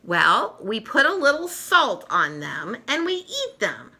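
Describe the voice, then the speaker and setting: Woman playing bossy storybook tomboy girl, exasperated, emphatic, very bright, swooping pitch. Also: huge pitch swings, slow, exaggerated voice. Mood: matter-of-fact.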